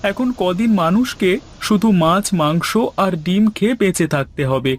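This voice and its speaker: A man's voice: sits high, lively intonation, moderate pace, a little hiss or hum, voice pushed up. A man voicing a resourceful village chicken seller in cartoon dialogue, earnest, confident and respectful. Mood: earnest, determined.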